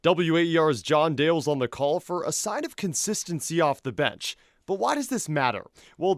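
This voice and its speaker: Young high-pitched male sports broadcaster. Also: no echo, studio quality. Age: young adult. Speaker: sports broadcaster, play-by-play announcer and anchor. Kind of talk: sports commentary and presenting.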